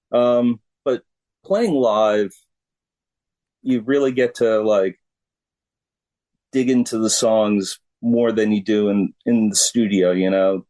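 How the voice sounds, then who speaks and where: A middle-aged man from the United States talks as an interview guest. His voice is fairly low, and he speaks very slowly and haltingly, in a casual conversational style. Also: darkish tone, poor audio.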